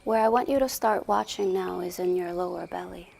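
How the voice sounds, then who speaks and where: A woman leading a guided meditation in a soothing, deliberate way with long pauses, her soft voice often sinking toward a whisper. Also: on the low side, creaky. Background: music.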